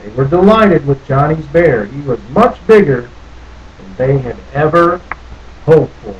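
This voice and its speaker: A man's voice: fairly high pitch, very animated, measured pace, highs cut off. A man reading a children's story aloud expressively, theatrically lingering over phrases. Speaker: storybook reader. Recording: noticeable background noise.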